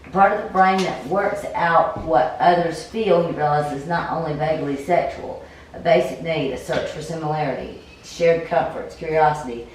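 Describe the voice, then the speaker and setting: A woman with a low voice reads game narration aloud as she plays, sometimes tripping over the words. She sounds far from the mic in a very echoey room. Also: moderate pace. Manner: stumbles over words while reading. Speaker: player reading a story game aloud. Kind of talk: cold read of game narration with casual commentary. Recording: very echoey room, distant mic, faint noise.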